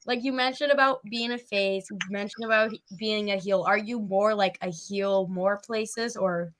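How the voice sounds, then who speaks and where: A woman's voice: mid-range voice, steady tone, moderate pace, fairly bright tone, a little hiss or hum. A woman hosting a casual live-stream interview, chatting informally with her guest and audience. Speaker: podcast host and interviewer.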